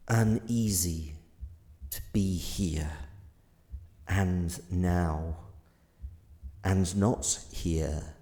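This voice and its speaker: Audio drama casting: ancient, enigmatic godlike entity played by a man, extremely slow, solemn delivery with long pauses and creaky low tone. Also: warm tone, exaggerated voice. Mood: weary, anguished. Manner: solemn, halting, deliberate phrasing with long pauses. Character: ancient godlike being of paradox, weary, wounded, enigmatic.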